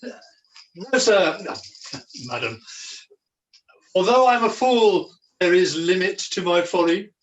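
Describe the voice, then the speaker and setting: A man's voice: sits high, lively intonation, slow pace, long pauses, lo-fi sound. A man playing a foolish, protective jester in an amateur pantomime, declaiming in mock-archaic, theatrical style. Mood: indignant, protective. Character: foolish, protective male jester, mock-grand wandering minstrel. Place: at home, indoors. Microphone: video call. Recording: echoey, distant home video call.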